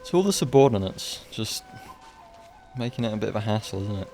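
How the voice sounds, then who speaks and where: Low, creaky-voiced male game streamer. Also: big pitch swings, fairly quick, darkish tone. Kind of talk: casual live gameplay commentary. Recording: stream mic over game music. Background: game music.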